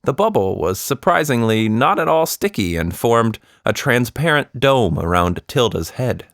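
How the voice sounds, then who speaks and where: A man narrating a scripted children's story in an expressive storyteller's manner. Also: mid-range voice, normal speed, no echo, studio quality. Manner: expressive, animated reading.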